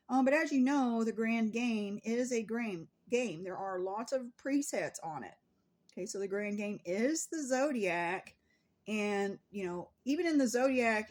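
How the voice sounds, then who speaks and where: A woman giving an informal spiritual teaching monologue to viewers, speaking in an emphatic, declarative way with repeated phrasing. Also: on the high side. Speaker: spiritual astrology content creator.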